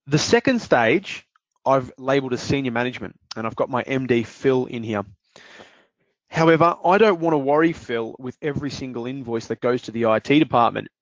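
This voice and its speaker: A man demonstrating invoice software step by step in a screen-recorded walkthrough, explaining calmly with the odd "uh" and "um". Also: mid-range voice, brisk, no room sound. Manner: explanatory, steady, with fillers. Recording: dry, dull lo-fi voice-over.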